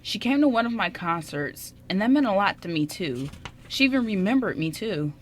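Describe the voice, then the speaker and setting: A woman's voice: medium pitch, big pitch swings, normal speed, slight background noise, natural voice. A woman playing a shy, smitten young man in an amateur radio drama, speaking in a hesitant, stammering way. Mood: earnest, bashful.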